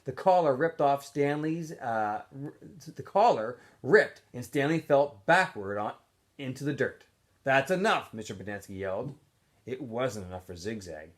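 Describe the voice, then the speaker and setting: Expressive male read-aloud narrator, very varied pitch. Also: moderate pace. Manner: expressive, acts out dialogue. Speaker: teacher reading a novel aloud.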